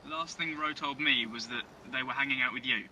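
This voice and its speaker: A man's voice: mid-range voice, brisk, bright, forceful tone, a little hiss or hum, poor audio. A man playing a blunt, suspicious, sarcastic young man in an audio drama. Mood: sarcastic, wary. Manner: dry sarcasm, British slang. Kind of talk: dystopian audio drama acting.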